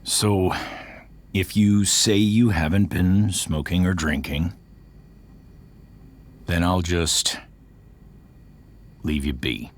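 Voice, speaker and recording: An actor voicing a gruff middle-aged military veteran stepfather in a game cutscene, American, speaking in a halting, earnest way. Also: sits low, exaggerated voice. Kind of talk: dramatic game cutscene acting.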